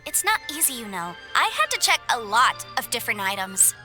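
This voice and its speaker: A woman's voice: fairly high pitch, very animated, brisk, music in the background. An actress voicing a playful, self-assured young woman legal advisor in a game cutscene.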